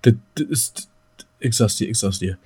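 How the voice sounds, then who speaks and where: A man's voice: lowish voice, lively intonation, moderate pace, mellow, breathy edge. A man with a German accent co-hosting a casual podcast, explaining things and putting questions to his partner and the listeners. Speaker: podcast co-host.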